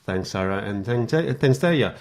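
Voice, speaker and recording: Man, Italian accent, lecturer, friendly, halting, studio radio chat. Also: lowish voice, lively intonation, a little mellow. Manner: friendly, laughing, halting restarts. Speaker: university lecturer, guest. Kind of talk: live radio guest chat.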